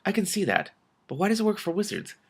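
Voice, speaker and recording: A man's voice: high voice, wildly swooping pitch, fast talker, gravelly, slightly rough, voice pushed up, exaggerated voice. A man voicing a storybook king who is questioning, curious and mildly incredulous. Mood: puzzled. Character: young male king, wary, curious, easily startled.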